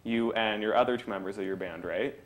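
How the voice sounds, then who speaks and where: A man's voice: on the low side, fairly quick. A man hosting a casual local interview, asking questions informally with short acknowledgements. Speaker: interviewer, host.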